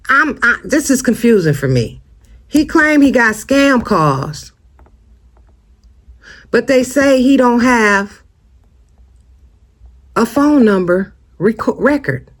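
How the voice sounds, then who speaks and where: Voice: mid-range voice, big pitch swings, takes it slowly, pauses often, fairly bright tone. An American vlogger giving casual, opinionated commentary straight to viewers, emphatic and conversational, often posing rhetorical questions. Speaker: host of a true-crime commentary channel.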